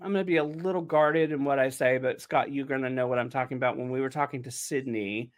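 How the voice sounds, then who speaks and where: A man chatting casually on a panel, telling stories in an animated way and acting out his own reactions. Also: mid-range voice, fast talker. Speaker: panelist on a behind-the-scenes show. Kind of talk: casual chat.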